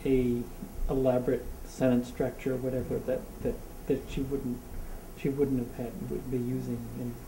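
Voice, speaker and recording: A man in the audience of a book discussion, talking in halting phrases with many restarts. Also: mid-range voice, monotone. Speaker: audience member. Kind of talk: casual discussion. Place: community meeting room. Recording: echoey room from a distance, faint noise.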